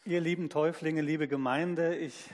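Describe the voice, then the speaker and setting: A man's voice: a little high, steady tone, normal speed, long reverb tail, a little hiss or hum. A man preaching a sermon to a full church, opening with a delighted address to the congregation. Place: church hall.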